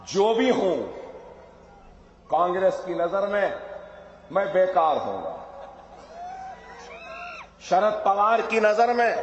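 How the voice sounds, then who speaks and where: A man giving an impassioned political rally speech, firing rhetorical questions and rising to shouts. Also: sits high, slight background noise. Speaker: political speaker. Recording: echoey hall sound, dull lo-fi.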